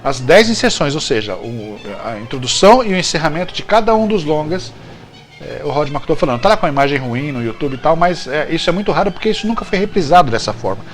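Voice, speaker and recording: A man's voice: fairly high pitch, very animated, fast talker, faint music behind. A Brazilian man hosting a show, talking casually and jokily about trivia and often correcting himself. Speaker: show host. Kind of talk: casual, chatty TV-trivia commentary.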